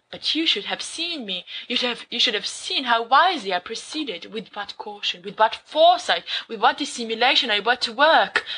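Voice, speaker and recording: A woman voicing a young male wizard student who reads a horror story aloud to his friends, slow and hushed at times. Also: mid-range voice, slight background noise, rough recording. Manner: slow, hushed dramatic reading. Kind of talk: audiobook fan fiction reading.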